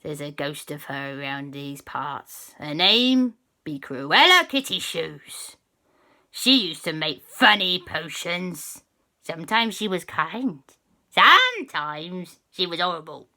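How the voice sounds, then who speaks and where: A woman voicing a grumbling male captain who talks slowly and loudly in old-fashioned speech. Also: on the low side, sing-song, full character voice. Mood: gruff. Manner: slow, loud, old-fashioned talk. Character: gruff grumbling male pirate-style captain, wary.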